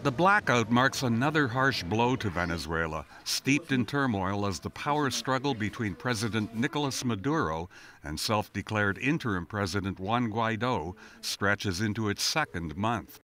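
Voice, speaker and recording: A man's voice: lowish voice, expressive, normal speed, sharp tone. A man reporting international news in a scripted narration.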